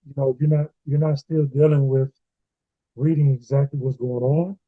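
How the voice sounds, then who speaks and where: A man's voice: mid-range voice, fairly even intonation, normal speed, dry recording. A man co-hosting a casual scripture discussion, explaining passages to the listeners. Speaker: co-host of a Bible read-along discussion. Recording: narrow phone-like sound, very dry.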